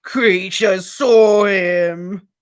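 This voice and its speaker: Man doing Kreacher, a croaking old house-elf. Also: mid-range voice, steady tone, a bit bright, no room sound, studio quality. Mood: wailing. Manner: slow, halting, gulping for air. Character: wretched old male house-elf, servile and bitter. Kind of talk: audiobook character voice.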